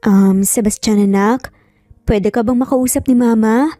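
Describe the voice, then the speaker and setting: A woman voicing a gentle, nervous young mother with a hushed, halting Filipino-accented delivery in an audio story. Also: fairly high pitch, fairly even intonation, no echo, faint music behind, close to an everyday voice. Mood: nervous, tearful.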